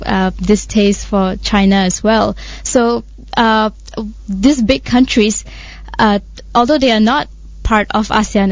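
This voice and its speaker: A woman's voice: medium pitch, darkish tone, no room sound. Woman co-host, explanatory, hesitant, dry close sound, a bit muffled. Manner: explanatory, hesitant with many fillers. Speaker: radio show co-host. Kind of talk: current affairs radio discussion. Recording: dry, slightly muffled studio audio.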